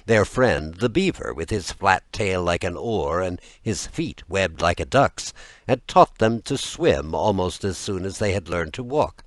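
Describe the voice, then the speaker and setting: A man with a low-pitched voice narrates a fairy tale in a close, dry recording. He reads expressively and deliberately, with a lively rise and fall in his intonation. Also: no echo. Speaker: audiobook narrator.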